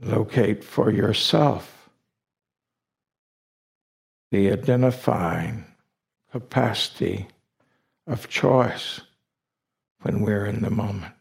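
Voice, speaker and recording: Slow, very creaky male meditation teacher. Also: on the low side, darkish tone. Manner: deliberate, with long pauses between short phrases. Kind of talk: guided meditation instruction.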